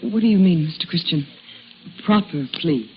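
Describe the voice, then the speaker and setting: An actress playing a guarded, bewildered, worldly woman accused of murder in a radio drama, speaking in a hesitant, refined and measured way. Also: lowish voice, animated, lightly acted. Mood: lost, uneasy. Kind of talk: 1950s radio drama acting. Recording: muffled, noisy old radio recording.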